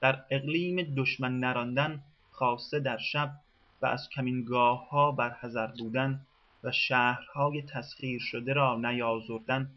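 A man reads documentary narration in a measured, formal manner. His voice sits at a middle pitch. The sound is somewhat dull and lo-fi, like an older radio program. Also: fairly even intonation.

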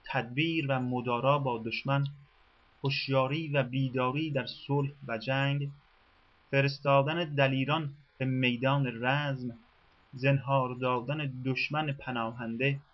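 A man reading a scripted radio documentary in a measured, formal way. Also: mid-range voice, steady tone, lo-fi sound. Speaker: narrator.

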